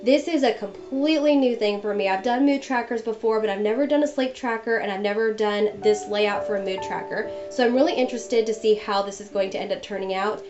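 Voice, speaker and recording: A woman's voice: medium pitch, a little fast, hardly any pauses. A young woman narrating a journal-planning voice-over in a chatty, friendly way while she gets over a cold. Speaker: bullet journal vlogger. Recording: dull lo-fi voice-over with music behind.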